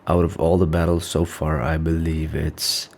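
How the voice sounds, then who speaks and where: A man's voice: a bass voice, monotone, moderate pace, gravelly, a little hiss or hum. A man hosting a casual reaction video, nasal and stuffed up from allergies, rambling as he thinks aloud. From North Macedonia.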